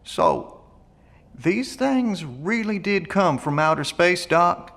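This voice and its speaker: A man plays a folksy survivalist in an audio drama, blunt but friendly. His voice is creaky and gravelly, a little high for a man. It rings with long reverb, as in an empty hallway. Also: very animated, slow, a bit bright, heavily acted. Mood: blunt, curious. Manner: folksy, colloquial, plain-spoken.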